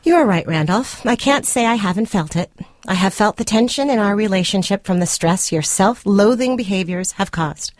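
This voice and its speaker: A prim, condescending girlfriend played by a woman actor in a radio story reading, mid-pitched and recorded very dry. She is controlling and self-righteous, picking out each formal word with clinical precision. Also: expressive, moderate pace, no echo, natural voice. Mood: self-righteous, reproachful. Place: radio studio.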